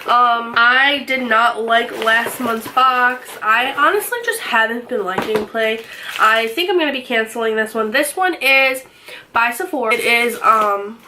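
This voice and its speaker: Young woman, beauty vlogger, slightly raspy from a cold, chatty, upbeat, sharp bright tone, faint background hiss. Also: fairly high pitch. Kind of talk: casual unboxing chat. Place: bedroom, indoors.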